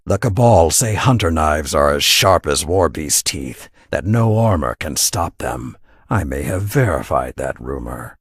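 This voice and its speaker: A man playing a solemn, proud warrior lord announcing matches in a video game, measured and stately. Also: low-pitched, slightly rough, no room sound, studio quality, full character voice. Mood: proud, approving.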